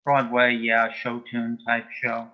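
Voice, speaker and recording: A man answering as an interview guest in a matter-of-fact, measured way, telling small anecdotes in conversation. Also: medium pitch, completely flat delivery, sharp tone. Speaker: interview guest, author and playwright. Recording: dull, band-limited sound.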